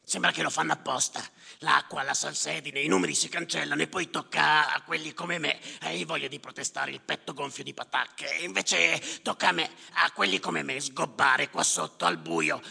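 An actor playing a self-pitying petty official, muttering and halting as he counts in a low gravelly voice in a stage monologue. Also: bright, forceful tone, big-room sound, heavily acted. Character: grumbling, self-pitying petty official tallying numbers in darkness. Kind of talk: theatrical monologue.

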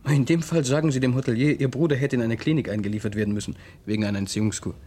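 An actor playing a wary, measured man in a radio crime drama, speaking formally and deliberately. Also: mid-range voice, fairly even intonation, mellow, natural voice. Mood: matter-of-fact. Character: wary, measured man investigating a shady death.

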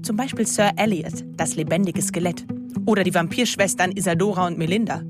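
An actress playing a caring, worried aunt who narrates earnestly in a radio play. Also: female voice, lowish voice, brisk, natural voice. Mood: earnest, concerned. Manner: earnest, explanatory. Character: caring, worried aunt running a retirement home. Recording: loud guitar music under the voice.

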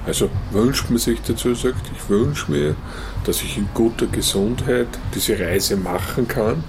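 A man's voice: fairly low pitch, a little slow. A man talking casually and reflectively, as an interviewee. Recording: clearly noisy ambient background.

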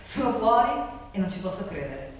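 A woman's voice: medium pitch, very animated, a little fast, recorded from a distance, close to an everyday voice. A woman playing an earnest young left-wing woman in a dramatic monologue, with a declarative delivery. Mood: excited, anxious. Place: large reverberant room, distant mic. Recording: echoey hall from afar, noisy.

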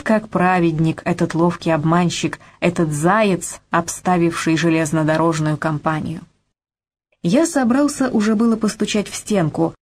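A woman narrating an audiobook in a measured, expressive reading that lifts in pitch on questions. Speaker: audiobook reader. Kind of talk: scripted literary narration.

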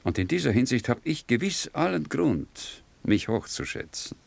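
An actor playing a self-assured, formal middle-aged professor in a German radio drama. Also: male voice, mid-range voice, big pitch swings, normal speed, vocal fry, husky, dry recording, heavily acted. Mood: complacent. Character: self-satisfied, orderly male professor and husband.

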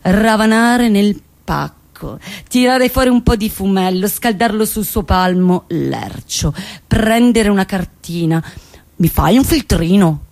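A woman's voice: mid-range voice, expressive, slow, vocal fry, darkish tone, no echo. An actress playing a wry woman who recounts her lovesick teenage years in a dramatic, sardonic theatre monologue. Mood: sardonic, scornful. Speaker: stage actress.

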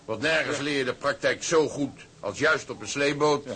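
An actor playing an older tugboat skipper in a radio drama, settled and resigned, speaking in a measured, knowing way. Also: male voice, mid-range voice, fairly bright tone, natural voice. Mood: firm, knowing.

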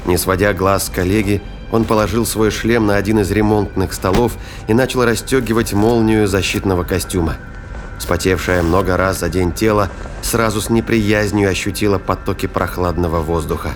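A man giving scripted, dramatic narration of a science fiction story as its narrator. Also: fairly low pitch. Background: music.

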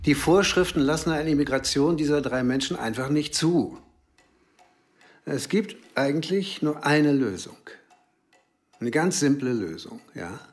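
An actor playing a matter-of-fact immigration adviser in a radio drama, explaining step by step and checking for agreement. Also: male voice, fairly high pitch, lively intonation, a little slow. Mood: businesslike. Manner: explanatory, tags sentences with a checking question. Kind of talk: German radio drama acting.

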